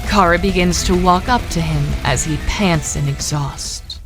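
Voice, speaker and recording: A woman's voice: on the low side, measured pace, a bit bright, faint music behind. A woman narrating an audio drama, reading scripted scene descriptions in a composed way.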